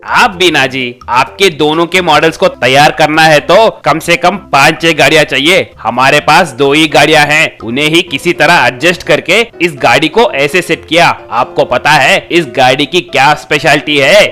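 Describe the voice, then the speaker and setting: A breezy bike mechanic, voiced by a man, explains things matter-of-factly in a slightly high voice for a comic cartoon. Soft music runs underneath. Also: moderate pace, hardly any pauses. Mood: breezy, explaining.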